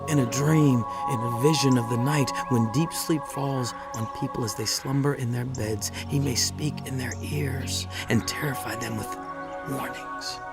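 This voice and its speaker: An actor playing an earnest, righteous biblical orator in a dramatized audio Bible, speaking emphatically with deliberate, rhetorical pauses. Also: male voice, medium pitch, close to an everyday voice. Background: music.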